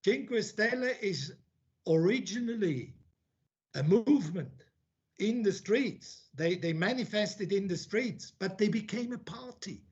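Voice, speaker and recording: A high-pitched man who grew up in Switzerland delivers an academic conference talk. He thinks aloud with many restarts and drops blunt, informal asides. The recording sounds dull and muffled. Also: lively intonation, unhurried. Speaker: academic speaker.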